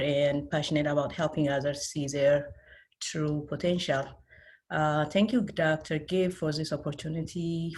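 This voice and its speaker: A middle-aged woman speaks as an interview guest, telling of her own experience. Her voice is low for a woman, and she has an Ethiopian accent. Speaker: interview guest, IT specialist and career mentor. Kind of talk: personal account in an interview.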